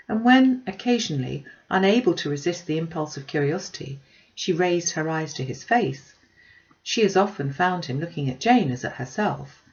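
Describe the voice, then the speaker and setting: A woman's voice: low-pitched, a little mellow, highs cut off. A woman reading a classic novel aloud as scripted audiobook narration.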